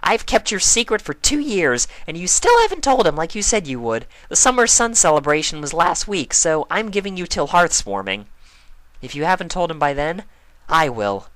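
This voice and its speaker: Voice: mid-range voice, big pitch swings, moderate pace, a bit bright, no echo, voice pushed up, poor audio. A storyteller voicing a bubbly but firm, caring young female pony, speaking in a direct, chirpy way. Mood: firm, serious.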